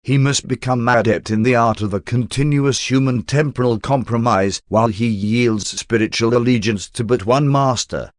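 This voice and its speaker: A man reading a spiritual text as an audiobook narrator in a formal, measured and solemn manner. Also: medium pitch, no room sound, studio quality.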